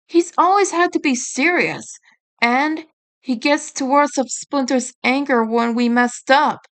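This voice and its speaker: A woman's voice: sits high, measured pace, fairly bright tone, pitched above the performer's own voice. A woman voicing a stubborn, protective teenage male turtle ninja, the blunt older brother, in a fan story reading. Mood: explaining earnestly.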